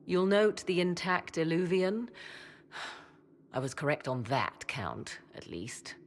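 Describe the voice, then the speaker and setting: An actress playing a proud human sorceress in a fantasy game, with haughty, formal, measured diction. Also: female voice, fairly low pitch, very animated, slight background noise. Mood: measured, superior. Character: proud, hungry-for-knowledge human sorceress advising a commander. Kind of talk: dramatic fantasy game acting.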